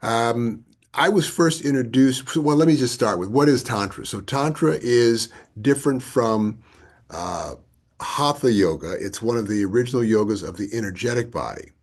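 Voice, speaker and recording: A man's voice: mid-range voice, animated, normal speed. A middle-aged man co-hosting a tantra workshop, talking earnestly and searching for his words. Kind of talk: casual workshop talk.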